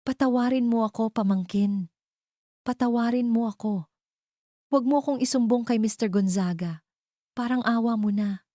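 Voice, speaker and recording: A woman's voice: on the high side, moderate pace, long pauses, warm tone, dry recording, studio quality, voice pushed up, close to an everyday voice. A woman voicing a guilty, fearful aunt in a story, pleading and evasive.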